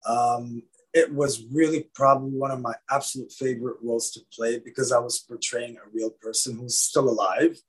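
A man from Lebanon, an actor guest, talking in a casual, animated way, often repeating his words. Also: mid-range voice, normal speed, few pauses, slightly rough, poor audio. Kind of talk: casual interview chat.

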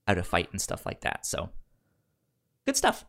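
A man chatting casually as a podcast co-host, halting, with a stammer that repeats sounds and syllables. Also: deep voice, huge pitch swings, creaky, fairly bright tone. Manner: halting, conversational. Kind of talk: casual podcast chat recommending comics.

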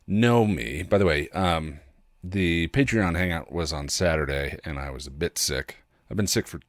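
A man with a cold talking casually and haltingly, with self-deprecating asides, as a solo podcast host. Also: low voice, no echo, clean studio sound. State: congested with a cold.

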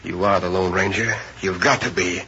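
An excitable, worried ranch owner in radio western style. The voice sits fairly low and exclaims anxiously. Noise runs behind the dull old recording. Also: male voice, moderate pace. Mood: astonished, trusting.

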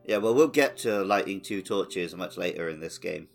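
Casting: male podcast host with a low-pitched voice, chatting informally. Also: lively intonation, normal speed, gravelly, faint music behind. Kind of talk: casual chat.

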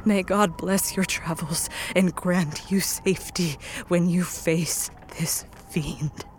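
A man playing a dying scientist in a radio play, weary and earnest, with a breathy, faltering, solemn delivery. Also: high-pitched, fairly even intonation, slow. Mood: weak, grateful. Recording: noisy ambience behind voice.

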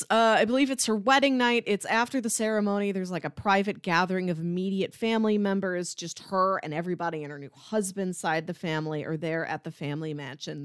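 A woman co-hosting a podcast in a casual, informal chat, describing things in a conversational and animated way. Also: medium pitch, no room sound, clean studio sound. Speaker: podcast co-host. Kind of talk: casual chat, pitching movies.

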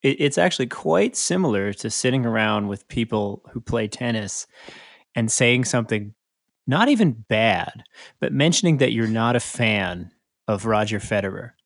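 A man co-hosting a podcast in casual conversation, talking in a halting way and often correcting himself. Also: on the low side, animated, gravelly, no echo, clean studio sound. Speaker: podcast co-host. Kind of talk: casual chat.

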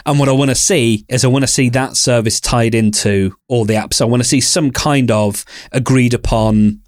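A man's voice: mid-range voice, fairly even intonation, normal speed, dry recording, clean studio sound. A man co-hosting a podcast in casual conversation, speaking in a hesitant, stop-start way with repeated words. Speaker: podcast co-host who runs a podcast hosting company. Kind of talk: casual chat.

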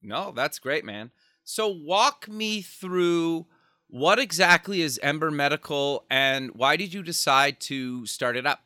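A man's voice: on the high side, animated, takes it slowly, sharp tone, no echo, studio quality. A man hosting an interview show, welcoming his guest and giving short encouraging replies. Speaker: show host.

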